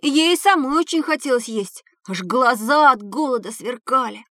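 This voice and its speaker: A woman's voice: high voice, normal speed, no room sound, studio quality. A woman playing an eager, boastful schoolboy telling stories in a scripted educational audio drama. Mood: matter-of-fact. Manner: animated storytelling.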